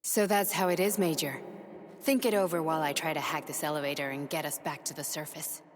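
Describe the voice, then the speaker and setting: A woman playing a brisk, determined female ally of a soldier in a video game cutscene. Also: sits low, steady tone, in a large hall, close to an everyday voice. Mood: guarded, brisk.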